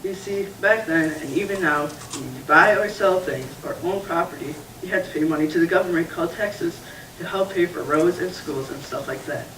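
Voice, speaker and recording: A man's voice: a little high, normal speed, fairly bright tone, a little hiss or hum. A man voicing the puppet Carl, patiently explaining a Bible story to a younger friend in a church puppet show. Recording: echoey room, distant mic.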